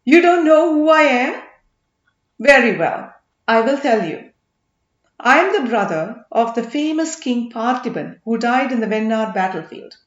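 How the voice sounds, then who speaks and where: A sneering, needling commander who mocks and probes, a middle-aged schemer , as a woman reads him. The voice sits a little high and carries a touch of room echo on a slightly muffled recording. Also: expressive, unhurried. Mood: mocking. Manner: derisive, needling.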